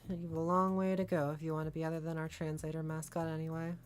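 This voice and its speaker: A woman's voice: deep voice, flat delivery, fast talker, clear, resonant tone, close to an everyday voice. A woman voicing a blunt, bossy and demanding female esports teammate in a visual novel. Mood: blunt criticism. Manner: blunt, commanding.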